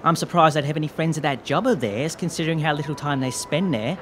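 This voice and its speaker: An actor playing a nosy, grumbling householder in an audio drama, wry and disapproving. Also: male voice, a little high, fast talker, a bit bright, a little hiss or hum, lightly acted.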